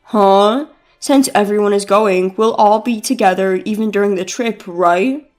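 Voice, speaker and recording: A woman's voice: medium pitch, measured pace, darkish tone, faint music behind, voice pushed down, lightly acted. A woman voicing an entitled, oblivious young husband with a nonchalant, matter-of-fact manner in a dramatized story.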